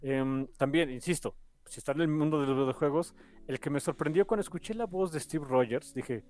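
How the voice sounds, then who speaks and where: A man's voice: on the high side, fairly quick, a little mellow. A man with a Mexican accent hosting a podcast, chatting casually in an enthusiastic, rambling way. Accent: Mexican Spanish. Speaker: podcast host.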